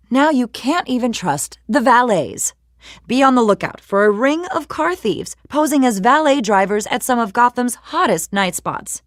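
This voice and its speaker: Game news reporter, actress performing: woman, higher-pitched, polished and measured, slightly wry, recorded close and dry. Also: no room sound, natural voice. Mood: wry, cautionary. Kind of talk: scripted news broadcast.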